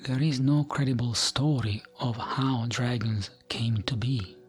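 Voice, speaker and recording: A man narrates fantasy lore in a gentle ASMR style. His delivery is hushed and soothing, taken very slowly at a medium pitch. Music plays softly behind his voice. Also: fairly even intonation. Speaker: narrator of gaming lore videos.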